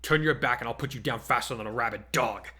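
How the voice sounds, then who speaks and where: A man's voice: mid-range voice, fast, bright, forceful tone, natural voice. A man voicing a cocky teenage boy hero-student who plays a menacing villain, in a dramatic story reading. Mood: taunting, menacing. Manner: taunting, menacing mock-villain delivery. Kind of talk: dramatic fan fiction reading.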